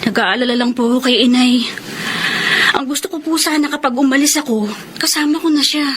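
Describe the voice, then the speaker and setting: A respectful young girl answers her elders softly, her voice high and youthful, as an actress plays her. Clear background noise hangs behind the dialogue. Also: fairly even intonation, fairly quick, natural voice. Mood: earnest. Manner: respectful, soft-spoken. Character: polite, dutiful teenage daughter. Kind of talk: radio drama acting. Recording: noisy background ambience.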